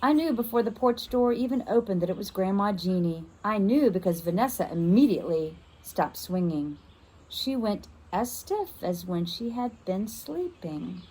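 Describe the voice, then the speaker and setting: A woman reads a children's first-person novel aloud in an expressive storytelling style, as a teacher would. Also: mid-range voice, moderate pace, husky, low-quality recording.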